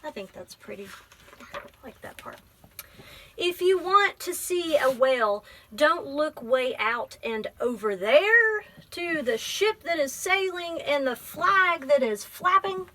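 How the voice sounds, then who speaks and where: Bright high-voiced woman reading to children. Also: animated, slow, slight background noise. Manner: explains simply, prompts with questions. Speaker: library teacher. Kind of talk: children's book read-aloud and teaching.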